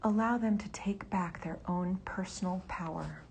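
A woman leading a guided meditation in a soft, breathy near-whisper. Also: lowish voice, normal speed, slight background noise.

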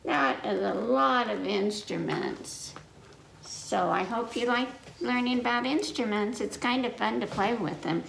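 Children's story reader: senior woman, unhurried and teacherly, high-set voice with creak, echoey noisy room. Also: fairly bright tone. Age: 60s or older. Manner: slow, simple, teacherly explanations with repetition. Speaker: library story time reader. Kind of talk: children's story time, reading aloud and explaining to little listeners. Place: indoor living room in front of a fireplace. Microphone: camera a few feet away. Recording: echoey room, noticeable noise, clicks.

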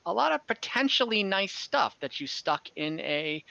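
A young man narrates a tabletop game as its game master, his voice bright and forward. He rambles casually with plenty of fillers. The sound is dry and a little dull, like a headset on a video call. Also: high voice, normal speed, creaky, dry recording. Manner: relaxed, rambling, frequent fillers and laughs. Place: home room on a video call.

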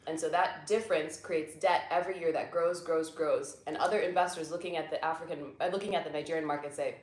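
A woman's voice: deep voice, fairly even intonation, fast talker, roomy sound. A young American woman, a guest and venture capital investor, explaining things patiently and simply in a casual chat in a living room.

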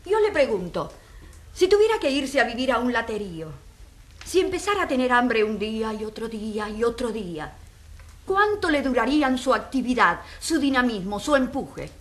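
Female courtroom advocate: impassioned, rhetorical, slightly high voice, radio drama. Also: sing-song. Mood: impassioned, earnest. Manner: rhetorical, emphatic, deliberate questioning. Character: female advocate arguing before a jury, earnest and impassioned.

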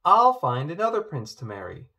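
A man voicing a spoiled, sulky young princess in a read-aloud storybook, with a high, whiny voice. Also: wildly swooping pitch, normal speed, bright, forceful tone, full character voice.

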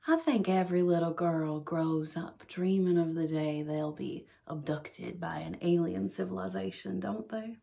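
A young woman playing a dreamy, determined client giving a soft, confiding testimonial in a small room. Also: on the low side, fairly even intonation, unhurried, creaky, hardly any pauses. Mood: wistful. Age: young adult. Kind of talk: soft ASMR mock testimonial. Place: small bedroom indoors.

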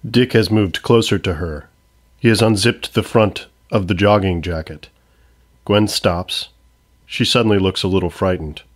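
A man's voice: deep voice, measured pace. A man giving scripted narration, reading out stage directions for an audio comedy.

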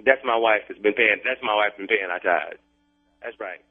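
A man's voice: lowish voice, normal speed, faint music behind. Man on a tinny phone line, protesting. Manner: protesting, repeats himself. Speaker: prank call target. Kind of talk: unscripted, exasperated phone conversation.

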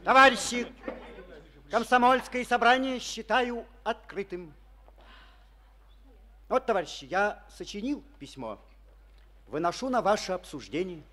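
A man's voice: sits high, expressive, unhurried, pauses often, bright, forceful tone, heavily acted. An actor playing an earnest young male Komsomol secretary in a village, chairing a meeting and reading a letter aloud in a declamatory way, in an old Soviet radio play. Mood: eager.

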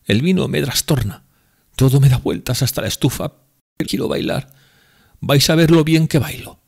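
A man voicing a drunk, impassioned young woman in an audiobook, speaking in a raised, breathy, pleading tone. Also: mid-range voice, normal speed, darkish tone, pitched above the performer's own voice. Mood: giddy. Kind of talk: audiobook character reading.

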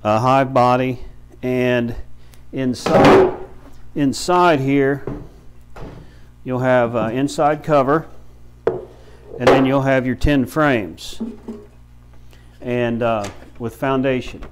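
A man in his fifties with a medium-pitched voice explains things step by step. His delivery is informal and folksy, with halting phrases, like a hands-on instructor showing beginners the basics. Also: heavy background noise. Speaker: beekeeper and bee farm shop owner, instructor. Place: small brick-walled room with many windows, indoors.

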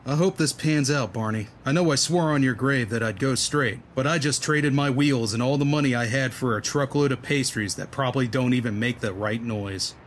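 Hapless male cartoon soldier, played by a man: average pitch, wry, doubtful, slight noise behind. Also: moderate pace, hardly any pauses, natural voice. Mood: doubtful, glum. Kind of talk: comic-book dub acting.

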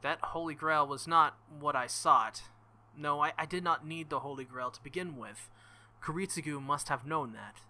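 A man reading aloud as a stern, earnest young woman knight-king, in formal, measured speech without contractions. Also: fairly high pitch, sharp tone. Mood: solemn. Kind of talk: reading visual novel dialogue aloud.

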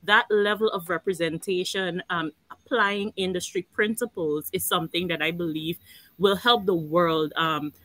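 A woman speaking earnestly on a video call panel, expressive and quick to laugh. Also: on the low side, no echo, poor audio. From Guyana. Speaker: panel guest, animation network founder.

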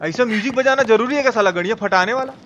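High-pitched Bihari-accented man streaming. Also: fast, highs cut off, noisy background. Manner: slangy, cursing, exclamatory, repeats himself. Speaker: live streamer. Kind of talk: casual gameplay commentary with chat replies. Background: game music.

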